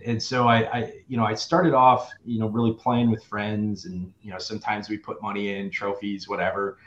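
A man in his early forties from Wisconsin, chatting casually as an interview guest, upbeat with lots of filler words. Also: fairly low pitch. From Wisconsin, USA. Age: early 40s. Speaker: guest, fantasy football player. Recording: roomy, dull lo-fi sound.

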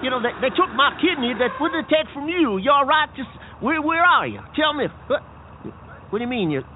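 A man's voice: very high-pitched, very animated, brisk. A man voicing a frantic male bean who runs a garage, stammering and breathless, with folksy, ungrammatical talk. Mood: indignant. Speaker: garage owner. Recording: tinny phone-like sound, faint noise.

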